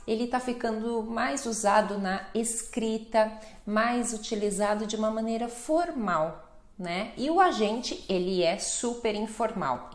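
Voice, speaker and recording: Slow, careful Brazilian woman teacher. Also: medium pitch, roomy sound. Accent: Brazilian Portuguese. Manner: slow, careful explanations with frequent "né?" and lively greeting examples. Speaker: Portuguese teacher. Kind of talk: teaching a language lesson to camera.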